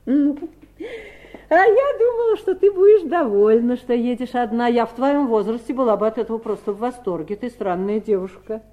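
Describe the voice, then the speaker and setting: An actress playing a commanding, scheming mother in a 1970s Soviet radio drama, controlled and smoothly manipulative. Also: a little high, moderate pace, narrow, tinny audio, natural voice. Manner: imperious, controlled.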